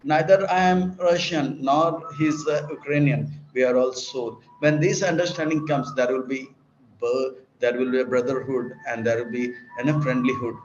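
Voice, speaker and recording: A man's voice: mid-range voice, steady tone, moderate pace, slight background noise. A man giving a sermon, preaching in repetitive, list-like emphatic phrases and sometimes acting out dialogue. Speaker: monk and preacher. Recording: roomy, dull lecture recording.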